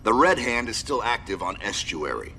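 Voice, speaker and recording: A man's voice: fairly low pitch, sing-song, normal speed, sharp tone, slight background noise, full character voice. A man playing a stern military commander who briefs his squad in a video game, formal, clipped and authoritative. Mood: stern, businesslike. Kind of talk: video game cutscene acting, mission briefing.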